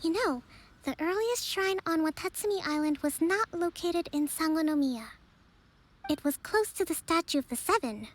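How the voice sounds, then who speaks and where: A calm young priestess leader. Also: female voice, very high voice, normal speed, low-quality recording. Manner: composed, formal, gently authoritative. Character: young female divine priestess, calm and measured. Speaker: island leader. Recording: game audio with soft music behind.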